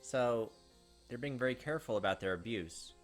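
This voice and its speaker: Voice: mid-range voice, expressive, moderate pace. A let's play host reading a visual novel aloud and adding casual, sympathetic commentary. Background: game music.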